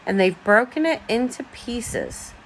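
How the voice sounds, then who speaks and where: A woman's voice: fairly high pitch, wildly swooping pitch, a little slow, slight background noise, full character voice, poor audio. A woman voicing a tiny, upset baby bear from a children's storybook.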